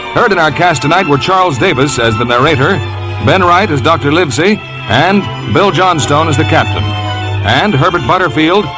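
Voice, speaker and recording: An American man announcing in a scripted 1950s radio style, with polished, emphatic salesmanship. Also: fairly high pitch. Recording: vintage radio broadcast with music.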